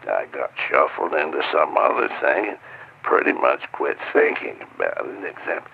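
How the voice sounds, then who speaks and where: An actor playing an old retired American military man, reflective and wary, recalling things haltingly in a gravelly voice. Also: extremely low pitch, big pitch swings, exaggerated voice. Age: older. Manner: halting, conversational recollection. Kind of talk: naturalistic audio drama interview. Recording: dull, muffled interview audio.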